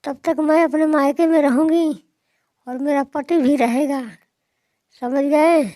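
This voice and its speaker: Woman voicing a very high-pitched, stubborn young wife. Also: steady tone, unhurried, warm tone, no echo, clean studio sound. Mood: insistent. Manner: insistent, complaining. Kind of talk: moral-story cartoon dialogue.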